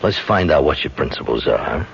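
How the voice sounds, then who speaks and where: An actor playing a blunt, menacing male gangster in a 1970s radio drama, talking in a slow, threatening street manner. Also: very deep voice, lively intonation, creaky, a little hiss or hum, heavily acted. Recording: dull vintage radio broadcast.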